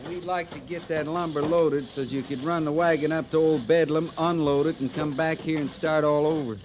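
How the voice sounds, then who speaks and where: Actor as sardonic American cavalry sergeant, noisy recording. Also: male voice, a little high, fairly even intonation, brisk, dull audio, natural voice. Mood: impatient. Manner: sardonic, commanding, folksy. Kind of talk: old-time radio Western acting. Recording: noisy old radio recording.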